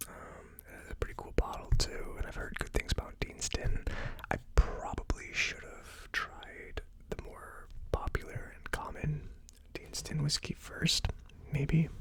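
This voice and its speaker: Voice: mid-range voice, gravelly. A person giving a casual ASMR tasting review as host, speaking informally and a little tentatively. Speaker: host of a whiskey tasting series.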